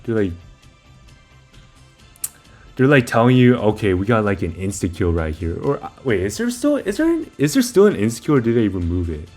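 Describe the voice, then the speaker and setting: A man's voice: on the low side, big pitch swings, normal speed, a little mellow, faint music behind. A young man giving a casual, informal game walkthrough, rambling a little as he talks through each step while playing. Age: young adult. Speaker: video maker giving game guide.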